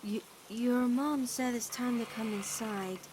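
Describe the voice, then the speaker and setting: A woman's voice: a little high, steady tone, measured pace, noisy background, close to an everyday voice. A woman voicing a caring, protective teenage girl in a cartoon fan dub, earnest and emphatic as she pleads with her girlfriend. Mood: gentle worry. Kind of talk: animated-series fan dub drama acting.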